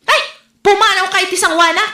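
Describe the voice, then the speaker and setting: A woman's voice: very high voice, fairly even intonation, normal speed, sharp tone. A woman playing a demanding, freeloading elder sister in an Ilocano comedy drama, accusing and stubborn, with an Ilocano accent. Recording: roomy echo, faint music.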